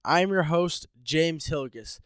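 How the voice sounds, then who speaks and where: A man's voice: fairly high pitch, expressive, slow, clear, resonant tone, dry recording, clean studio sound. A man hosting a solo podcast, stuffed up with a head cold, explaining things in an upbeat, informal way. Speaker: podcast host.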